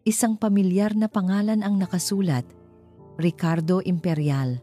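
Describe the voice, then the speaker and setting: Female romance narrator, deep and warm, sentimental storybook read, light music bed. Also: steady tone, moderate pace. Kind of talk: scripted dramatic romance narration. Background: background music.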